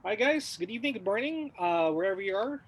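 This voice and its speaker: A man's voice: sits high, animated, fast talker, poor audio. A man hosting a casual livestream talk show, welcoming guests with many hesitant fillers.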